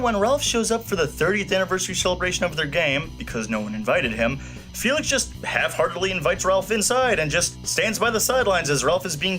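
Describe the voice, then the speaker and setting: A man's voice: sits high, a bit bright, rough recording. A man delivering scripted film review commentary in an opinionated, casually irreverent way. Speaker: film review show host.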